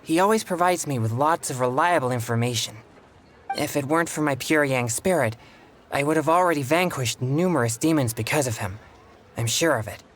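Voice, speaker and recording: An actor playing a polite, earnest young male exorcist in a video game, speaking in formal, courteous, measured phrases. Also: medium pitch, fairly bright tone, a little hiss or hum, lightly acted. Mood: grateful, sincere. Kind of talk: scripted video game dialogue.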